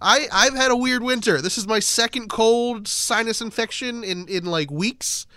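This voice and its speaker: Congested high-voiced male podcast host. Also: moderate pace, a crowd in the background. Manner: chatty, rambling, self-deprecating. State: nasal and congested from a cold. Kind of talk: casual sports podcast chat.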